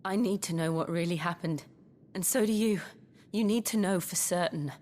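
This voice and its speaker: A woman playing a steadfast, caring noblewoman in a video game, speaking in a soft, earnest and measured way. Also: lowish voice, lightly acted. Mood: resolute, supportive. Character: steadfast, caring noblewoman, loyal companion, gently resolute. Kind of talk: dramatic video game cutscene acting. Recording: music behind the voice.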